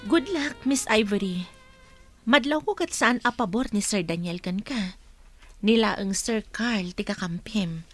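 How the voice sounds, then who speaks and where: A woman's voice: mid-range voice, unhurried, soft background music, natural voice. An actress playing a businesslike but supportive senior female office colleague in a Filipino radio drama, instructive and matter-of-fact. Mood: cautioning, supportive.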